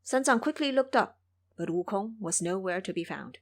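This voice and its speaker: A woman's voice: medium pitch, lively intonation, moderate pace. A woman storyteller giving dramatized, scripted narration of a classic fantasy adventure.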